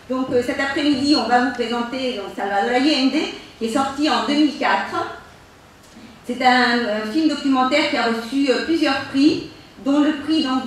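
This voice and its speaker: A woman's voice: sits high, a little hiss or hum. A woman organizer giving a hesitant introductory speech at a film screening, with many fillers. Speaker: event organizer. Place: cultural center hall. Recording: echoey hall from far off.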